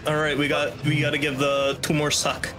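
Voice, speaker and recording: A young man doing casual live commentary as a speedrunner, in a halting way that repeats and restarts phrases. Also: on the high side, monotone. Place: indoor room at home, webcam stream. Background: video game music and vehicle-like game sounds.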